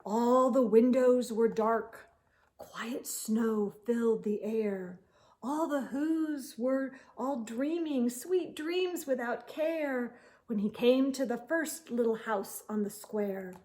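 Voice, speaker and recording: Lady doing kids storytime read aloud, highish voice, really slow, dramatic n expressive. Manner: expressive, drawn-out emphasis, theatrical. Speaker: children's storytime host and reader.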